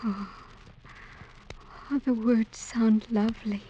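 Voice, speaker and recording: An actress playing a gentle young woman in love, soft and tender in mid-century radio drama style. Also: on the high side, flat delivery, slow pace, slight background noise. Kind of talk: 1940s-50s radio drama acting. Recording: dull vintage radio recording.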